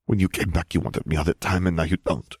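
A man's voice: low voice, steady tone, quick pace, darkish tone, husky, no room sound, studio quality. A man voicing a jealous, hot-tempered pregnant young woman, part bird, who sounds sulky and accusing. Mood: hurt, accusing.

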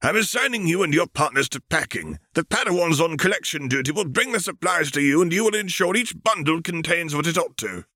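A man's voice: on the high side, big pitch swings, a little fast, few pauses, sharp tone, dry recording, clean studio sound, voice pushed up, full character voice. A man voicing a stately reptilian alien Jedi master in a fan fiction reading, speaking formally and courteously. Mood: courtly, formal.

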